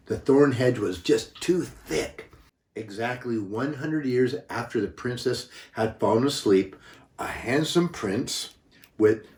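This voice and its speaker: Older man reading slowly in an echoey room. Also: medium pitch, a little mellow. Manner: unhurried, dramatic emphasis, occasional stumbles. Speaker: grandfather reading stories. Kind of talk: children's storybook narration with homely asides. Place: echoey room, away from the mic. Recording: roomy, echoing, distant microphone.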